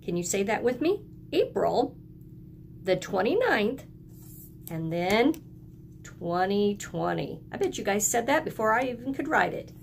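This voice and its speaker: A woman's voice: mid-range voice, big pitch swings, measured pace, gravelly, long pauses, a little hiss or hum. A woman teacher giving a lesson to young children, encouraging them in simple phrases and prompting them to repeat after her. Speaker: early elementary school teacher.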